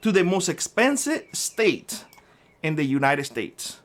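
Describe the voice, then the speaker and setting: A man's voice: fairly high pitch, very animated, measured pace, a bit bright. A middle-aged man from Cuba with a Cuban Spanish accent, hosting a prepper vlog and ranting combatively at a commenter, with frequent swearing. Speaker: prepper channel host.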